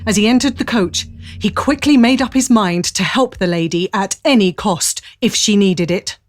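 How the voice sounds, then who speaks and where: A woman's voice: medium pitch, moderate pace. A woman giving a dramatic scripted narration of a period adventure story. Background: faint noise and ambience.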